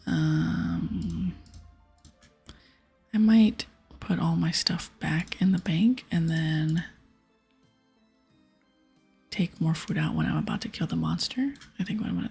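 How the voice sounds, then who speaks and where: A woman hosts a gaming ASMR session in a soft, extremely breathy whisper. Her voice often crackles into fry as she casually thinks aloud about her play. Gentle music sits quietly behind her. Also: low voice. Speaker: ASMR gaming channel host. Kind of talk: whispered ASMR game commentary.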